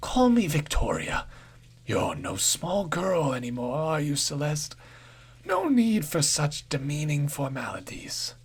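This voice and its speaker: A man's voice: a little high, wildly swooping pitch, unhurried, breathy edge, pitched above the performer's own voice, full character voice. A man voicing a tormented old homeless woman, strained and erratic, swinging between pleading and shrieking. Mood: coaxing.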